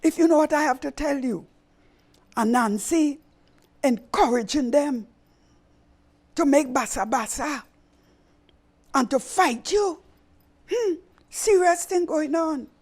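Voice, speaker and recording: A woman's voice: sits high, lively intonation, takes it slowly, long pauses, darkish tone, pitched above the performer's own voice, heavily acted. A woman voicing a gossipy female parrot who eagerly tattles news. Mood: tattling.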